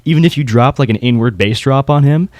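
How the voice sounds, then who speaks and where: A man's voice: mid-range voice, fast, a little mellow, no room sound, clean studio sound. A man hosting a casual podcast, talking informally and knowledgeably about competitors in his field. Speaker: podcast host.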